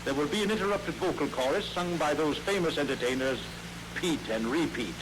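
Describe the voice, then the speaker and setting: A man's voice: fairly high pitch, a little fast. A man playing a comic radio announcer who keeps flubbing and correcting his words. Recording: crackly old radio recording.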